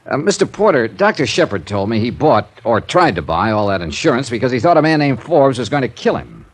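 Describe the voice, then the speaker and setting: An actor playing a tough, wry American insurance investigator in 1950s radio style, dry, blunt and clipped. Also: male voice, mid-range voice, sing-song, a little fast, breathy edge, dry recording. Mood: frank, dry. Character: tough, wry freelance insurance investigator, male, narrating his cases. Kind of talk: 1950s radio detective acting, clipped hard-boiled narration.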